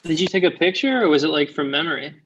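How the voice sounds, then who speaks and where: Reluctant male friend, thirties, actor in a comedy read, mid-pitched, awkward and halting, slightly muffled recording. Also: lively intonation, fairly bright tone, slight background noise. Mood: awkwardly friendly. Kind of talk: comedy pilot table read. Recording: muffled, dull online call audio.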